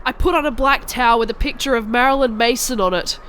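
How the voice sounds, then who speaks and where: A woman's voice: on the high side, fast, a bit bright, a little hiss or hum, low-quality recording. A woman narrating a cartoon story in the first person as a melodramatic, angsty goth teenage girl.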